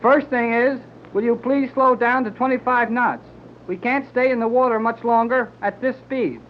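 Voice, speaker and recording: An excitable young male sidekick with folksy exclamations. The sound is a dull, lo-fi old radio serial recording with faint hiss. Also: extremely high pitch, normal speed. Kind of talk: 1930s radio acting.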